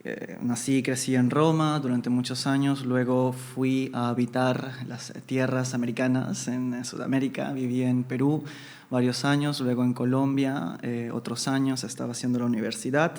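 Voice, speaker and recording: A man from Italy, a podcast guest, talking in a measured, reflective way and laughing often in casual conversation. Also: mid-range voice, flat delivery, a little mellow.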